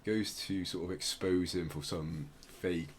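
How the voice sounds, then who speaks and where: A man's voice: sits low, steady tone, a little slow, slight background noise. A man hosting a podcast, explaining things in casual chat. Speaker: podcast host.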